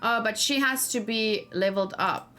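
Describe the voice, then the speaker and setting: A woman's voice: on the high side, normal speed. Casual woman streaming a game, thinking aloud. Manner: thinking aloud, animated exclamations. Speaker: gaming streamer. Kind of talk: casual live game commentary, chatting with viewers.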